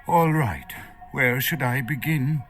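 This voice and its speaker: A man's voice: medium pitch, slow, fairly bright tone, soft background music. An actor playing a nervous, erudite archaeologist in a video game, lecturing hesitantly as he searches for words. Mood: pleading, relieved. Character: nervous, erudite male foreign archaeologist held captive.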